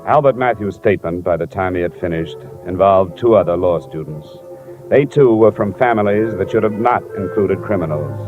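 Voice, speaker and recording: A man acting a hard-boiled radio detective with a low voice. He talks in blunt, clipped phrases, like a relentless investigator. The sound is a muffled vintage broadcast. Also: normal speed, music in the background, natural voice. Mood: wry, weary.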